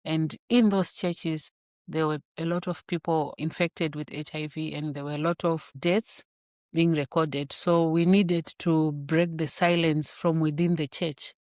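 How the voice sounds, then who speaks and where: A woman from Zimbabwe, a grandmother and physician, telling her story in an interview, with thoughtful pauses and repeated words. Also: low-pitched, fairly even intonation, darkish tone, no echo, clean studio sound. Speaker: guest, infectious disease physician.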